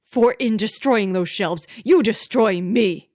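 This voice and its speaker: A woman voicing a fussy, possessive husband who scolds loudly. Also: medium pitch, takes it slowly, no echo, studio quality, lightly acted. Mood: furious. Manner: sharp, scolding, loud.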